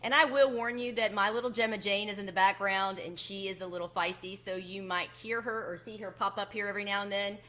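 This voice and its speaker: A woman reading a children's picture book aloud as a storyteller, expressive and deliberate with drawn-out emphasis. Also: mid-range voice.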